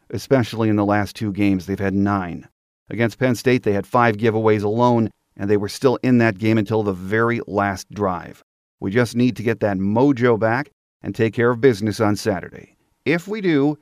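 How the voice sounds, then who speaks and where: A man's voice: lowish voice, darkish tone, no echo, studio quality. A man hosting a sports podcast, presenting commentary and interviewing a guest. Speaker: podcast host.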